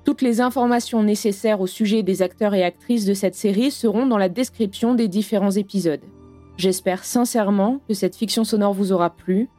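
A woman reading scripted closing credits as an announcer, in a measured, formal way. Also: medium pitch, warm tone. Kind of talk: scripted narration in French. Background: music.

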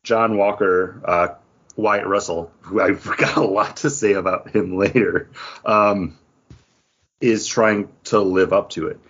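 Casting: male podcast co-host, low and rough-edged voice, casual review chat, dull call audio. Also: steady tone, slow pace, fairly bright tone. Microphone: internet voice call.

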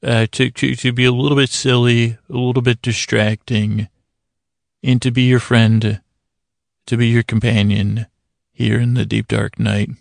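A man hosting a bedtime podcast in a meandering, halting monologue, gently silly and self-deprecating, with words repeated and thoughts drifting off into tangents. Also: medium pitch, flat delivery, dry recording. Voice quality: gravelly, creaky. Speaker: podcast host. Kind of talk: rambling bedtime monologue to help listeners sleep.

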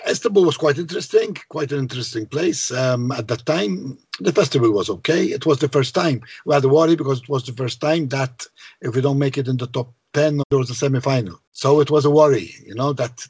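Low-voiced Maltese man, husky. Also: expressive, fairly quick, darkish tone, no room sound. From Malta. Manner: hesitant, repeats words, conversational. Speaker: songwriter guest. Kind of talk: casual interview.

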